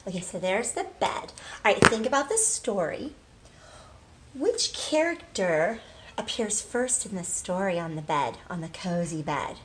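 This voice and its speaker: A woman teaching young children in a read-aloud lesson, asking questions, pausing and drawing out key words for emphasis. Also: medium pitch, very animated, fairly bright tone, breathy edge. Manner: prompts with questions, pauses, affirms answers, drawn-out emphasis on key words. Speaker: early literacy teacher.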